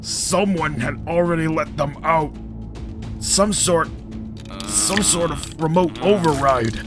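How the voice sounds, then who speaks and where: An actor playing a shaken, grave robot medic with faltering speech in a sci-fi audio drama. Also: male voice, on the high side, slow, music in the background.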